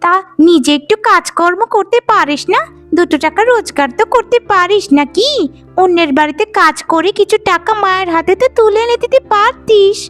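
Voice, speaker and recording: A woman's voice: very high voice, lively intonation, a little fast, fairly bright tone, soft background music. A woman voicing a spoiled, spiteful young village sister in a cartoon, with a whiny, haughty, scornful manner. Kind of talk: animated folk-tale cartoon voice acting.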